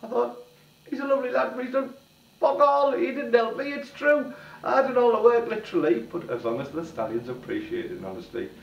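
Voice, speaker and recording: Older man, hoarse from a cold, animated sing-song rhyming delivery; distant home-video sound. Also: very high voice, a little fast, roomy sound, dull audio. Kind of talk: rhyming spoken-word storytelling to camera. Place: small living room, seated on a sofa. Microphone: camera at a distance.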